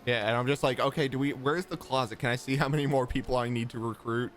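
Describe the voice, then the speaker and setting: A man's voice: medium pitch, fairly even intonation, fast talker, a bit bright. A man co-hosting a podcast, chatting casually about a video game. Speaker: podcast co-host.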